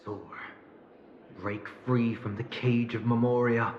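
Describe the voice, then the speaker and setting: A man acts a calm, lofty fantasy game guide. He speaks slowly and solemnly in a roomy echo, slightly muffled with noise around him. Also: mid-range voice, natural voice. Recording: echoey, muffled, noisy game audio.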